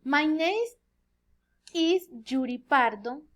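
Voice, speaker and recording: Female student, 20s, native Spanish speaker with Colombian accent. High voice, extremely slow delivery, hesitant word-searching in a self-introduction. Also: animated, fairly bright tone. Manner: halting, searching for words. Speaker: student giving an oral presentation. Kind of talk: rehearsed self-introduction for a language class.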